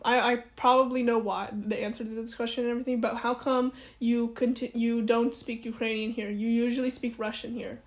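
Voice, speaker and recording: Young woman asking long rambly questions, kinda high voice, flat delivery. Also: brisk, hardly any pauses. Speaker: interviewer. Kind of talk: oral history interview.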